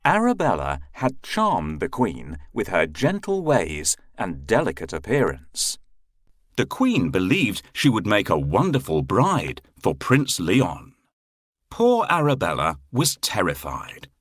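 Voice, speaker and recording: A male storyteller reading a fairy tale to children with theatrical expression. His lowish voice swings widely in melody and moves very slowly, in a dry recording. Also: huge pitch swings, no echo.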